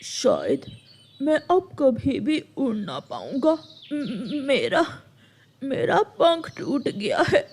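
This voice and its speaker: A woman's voice: high-pitched, huge pitch swings, takes it slowly, a little mellow, slightly rough, full character voice. Woman voicing a downcast injured father bird. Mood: despairing. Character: injured father bird, downcast, worried about money, loving. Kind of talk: Hindi children's moral-story cartoon dialogue.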